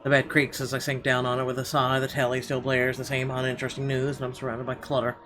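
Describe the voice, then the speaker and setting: A man reading visual novel narration aloud, expressively but haltingly, with small stumbles. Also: medium pitch, monotone. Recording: game music behind the voice.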